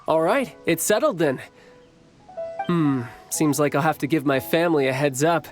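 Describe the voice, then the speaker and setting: A man's voice: on the high side, moderate pace, soft background music, lightly acted. A man voicing a cocky, friendly young warrior in a video game, with a teasing, confident manner. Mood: fond, eager. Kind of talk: video game character voice lines.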